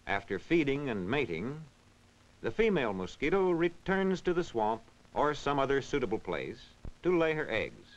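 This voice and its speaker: An old, muffled film soundtrack carries a man's narration. His pitch is mid-range and moves in wide, animated swings. He explains in a measured, instructional manner with careful diction, in the style of a vintage classroom film. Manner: measured, instructional, clearly enunciated. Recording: dull, band-limited vintage film soundtrack.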